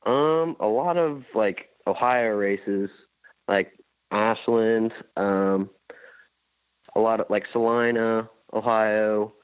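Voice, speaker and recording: Young man from Indiana, low-voiced, relaxed and rambling, heard over a tinny phone line, dry sound. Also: takes it slowly, smooth, no echo. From Indiana, USA. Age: young adult. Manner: laid-back, rambling with fillers. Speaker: guest rider. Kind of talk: casual phone interview.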